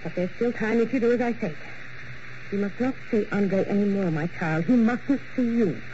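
A woman's voice: mid-range voice, moderate pace, mellow, close to an everyday voice. A woman playing a grave, motherly older ballet madame, solemn and urgent, in 1940s radio melodrama style. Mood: grave pleading. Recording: muffled, noisy old radio broadcast.

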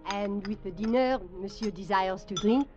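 An actress playing a middle-aged French restaurant proprietress, formal and insistently coaxing, in an old radio drama. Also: female voice, mid-range voice, normal speed, over music, lightly acted. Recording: muffled vintage radio recording.

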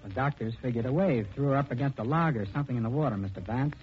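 A dull, faintly noisy 1940s radio recording features an actor as an earnest young working man. He speaks plainly and respectfully at a medium pitch. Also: quick pace, close to an everyday voice. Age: young adult. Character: earnest, loyal young working-class chauffeur. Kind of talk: 1940s radio drama acting.

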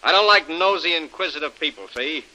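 A man's voice: fairly high pitch, animated, a little fast, bright, forceful tone, low-quality recording. A snarling tough-guy villain. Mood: menacing. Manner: hard-boiled gangster talk, clipped threats. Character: menacing male villain, tough-talking bully. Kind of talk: 1930s radio acting.

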